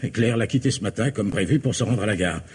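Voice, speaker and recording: Husky, agitated middle-aged husband. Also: male voice, lowish voice, fast talker. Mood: worried. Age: 50s. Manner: agitated, stammering, exclamatory. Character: anxious, irritable middle-aged husband and father, Robert. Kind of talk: old French radio drama acting.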